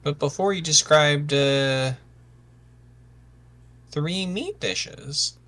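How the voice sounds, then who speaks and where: A man acts a sensitive misfit son in a fantasy drama. His voice is rather high and bright. He hesitates and stammers, earnest and anxious. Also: drawn-out words. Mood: hesitant, puzzled.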